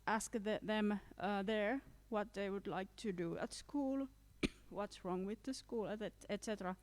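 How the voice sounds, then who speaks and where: A woman with a Finnish accent speaks slowly on a conference panel, her voice smooth and clear. She is a teacher and talks with frequent pauses and fillers. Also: mid-range voice. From Finland. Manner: hesitant with fillers, coughing now and then. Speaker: vocational school teacher. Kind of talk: panel contribution. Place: conference room.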